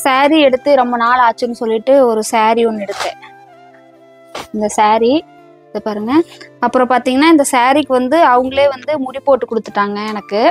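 A woman's voice: a little high, moderate pace, soft background music. A young woman vlogger sitting at home and talking to the camera in a casual, chatty way, full of fillers. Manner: chatty, explains with many fillers. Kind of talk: casual vlog talk to camera. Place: small indoor room at home.

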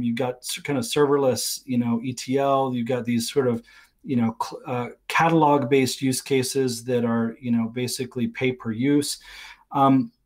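A man's voice: mid-range voice, a little mellow. A middle-aged man giving explanatory technical talk as a guest expert on a livestream video call, thinking aloud and qualifying his points as he goes. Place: small attic room at home.

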